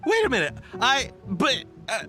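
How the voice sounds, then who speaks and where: An actor playing a flustered, indignant merchant in a video game, spluttering in protest. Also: male voice, extremely high pitch, huge pitch swings, normal speed, over music, full character voice. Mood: panicked, indignant. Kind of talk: scripted video game dialogue.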